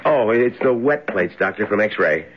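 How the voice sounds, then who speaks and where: A man's voice: on the low side, animated, normal speed, noisy background, close to an everyday voice. An actor playing a puzzled, deferential young male hospital doctor in an old-time radio drama. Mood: puzzled, defensive. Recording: dull lo-fi old radio recording.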